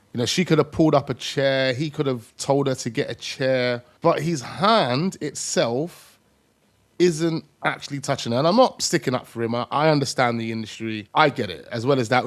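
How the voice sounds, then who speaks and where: Male host, reaction commentary, conversational, mid-pitched voice, repeats points for emphasis. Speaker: video host.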